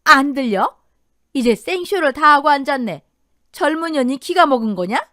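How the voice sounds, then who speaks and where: A woman's voice: high-pitched, lively intonation, moderate pace, dry recording, studio quality, voice pushed up. A woman voicing a domineering older mother-in-law who scolds and sneers in a Korean radio drama story. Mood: furious sneering.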